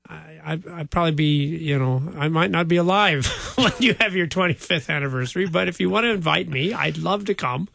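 A man's voice: on the high side, brisk, gravelly, hardly any pauses, fairly bright tone, dry recording. An older man, a pastor, chatting casually about a Bible passage and chuckling often.

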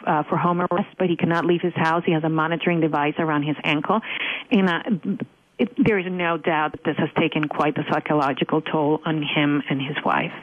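A middle-aged Cuban American woman with a Cuban accent, answering interview questions earnestly with frequent fillers. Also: low-pitched. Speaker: religious freedom advocate guest. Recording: tinny phone line.

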